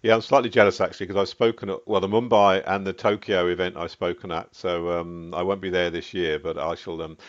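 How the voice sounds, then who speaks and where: Man, low voice, chatty interviewer, lo-fi audio. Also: fairly even intonation, brisk, hardly any pauses. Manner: chatty, jumps up in pitch on questions. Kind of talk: casual interview. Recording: muffled lo-fi recording.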